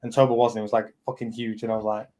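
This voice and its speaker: A man's voice: lowish voice, flat delivery, very fast, dark tone. A man in his thirties, hosting a live community chat as a company head, talking bluntly and rambling with lots of fillers and swearing. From England. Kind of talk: casual chat.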